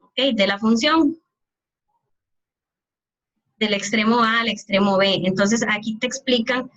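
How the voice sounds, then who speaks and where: A woman teaching calculus over a video call, her fairly high voice coming through muffled and lo-fi. She walks through each step patiently and keeps asking her students whether they follow. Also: a little slow, fairly bright tone. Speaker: math teacher. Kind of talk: online calculus lecture.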